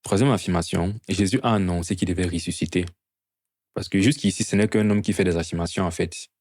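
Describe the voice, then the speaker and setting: A man's voice: sits low, steady tone, brisk, darkish tone, no room sound, clean studio sound. A man preaching an evangelistic sermon to a gathering in an earnest, teaching manner, often posing rhetorical questions.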